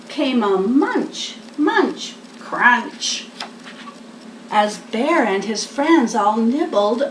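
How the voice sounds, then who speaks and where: Older female storybook reader: high register, slow, expressive delivery for young kids, roomy home-style recording. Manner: deliberate, expressive, talking to small children. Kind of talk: children's picture-book read-aloud. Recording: echoey room, distant mic, faint noise.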